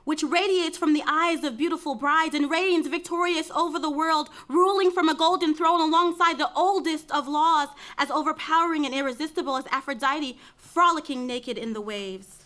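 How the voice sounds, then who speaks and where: Chorus citizen, woman reader, high voice, solemn and reverent declamation, ancient tragedy. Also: normal speed, few pauses, pushed and bright. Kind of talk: dramatic reading of Greek tragedy.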